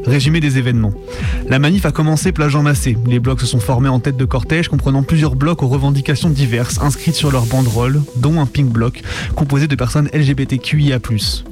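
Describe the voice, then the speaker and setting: Male radio host, medium pitch, informal delivery, studio presenting. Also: fairly even intonation, brisk, over music. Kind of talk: casual radio presenting and reading a statement aloud.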